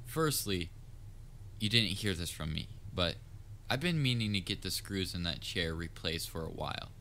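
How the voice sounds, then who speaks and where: Over a clearly noisy ambient background comes a man acting as a theatrical male supervillain with a fairly low voice. He is soft-hearted and anxiously attached to his nemesis, speaking straight to the listener in an earnest, halting way with touches of wry banter, as in an audio roleplay. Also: animated, full character voice. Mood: sly, playful.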